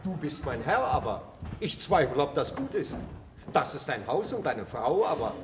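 A zealous man of the church argues in a raised, high-lying voice. His delivery is oratorical, indignant and insistent, in the manner of a stage radio play. The sound is echoing, with faint noise behind it. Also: big pitch swings, moderate pace. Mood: insistent, grave. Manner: rhetorical, oratorical, emphatic. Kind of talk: German theatrical radio play acting. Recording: roomy, slightly noisy radio play recording.

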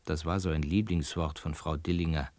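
An actor playing a brooding male lodger who recounts events in a creaky voice as a radio play narrator. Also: a bass voice, fairly even intonation, a little fast, darkish tone, dry recording. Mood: brooding, reflective.

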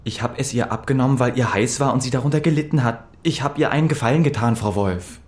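An actor playing a lovesick, sarcastic teenage schoolboy in a radio drama, emotional and halting, repeating words and swearing. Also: male voice, medium pitch, husky, close to an everyday voice. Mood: defensive. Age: young adult.

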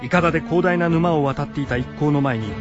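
A male narrator of a radio adventure drama, reading from a script in a fairly high voice. Music plays behind him and the sound is a little dull. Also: fast talker.

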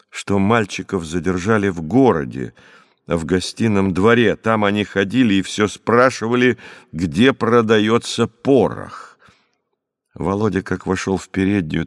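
A man narrating an audiobook story expressively, with dramatic, clearly phrased scripted reading. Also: low voice, unhurried, dry recording, clean studio sound.

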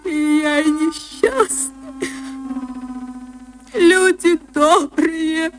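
A woman voicing a tearful provincial widow in a literary radio reading, lamenting in a drawn-out wail. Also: very high-pitched, steady tone, faint music behind, voice pushed up, exaggerated voice, poor audio. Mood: grief-stricken wailing.

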